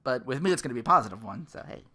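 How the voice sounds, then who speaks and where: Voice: mid-range voice, expressive, racing, bright, forceful tone. A podcast host in their thirties on a casual comedic rant, sarcastic and profane, sometimes slipping into mocking quotes. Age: 30s.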